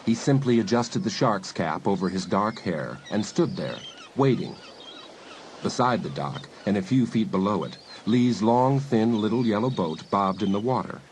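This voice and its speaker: A man narrating a dramatised audiobook in an expressive, scripted storytelling manner. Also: fairly low pitch, normal speed, slight background noise.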